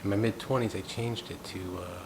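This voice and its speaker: A man in his thirties, from the United States, talking through his thoughts in a reflective, unhurried personal monologue. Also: vocal fry. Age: 30s. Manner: thoughtful, unhurried, musing aloud. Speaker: gong practitioner sharing thoughts. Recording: steady low rumbling background noise. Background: rumbling noise.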